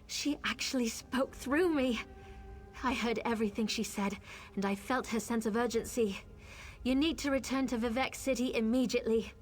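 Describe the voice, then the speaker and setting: A woman voices an earnest, devout heroine in a fantasy video game. Her fairly high voice carries eager conviction. Faint music sits underneath. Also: moderate pace, close to an everyday voice. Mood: awed, eager. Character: earnest, devout younger sister, eager and awed.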